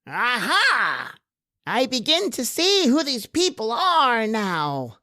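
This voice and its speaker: A man voicing a vengeful, gleeful dwarf court jester with a shrill, theatrical delivery in a horror radio tale. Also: huge pitch swings, bright, forceful tone, dry recording, clean studio sound, full character voice. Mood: menacing triumph. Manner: drawn-out, theatrical declaiming. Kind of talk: dramatic storytelling in old horror radio manner.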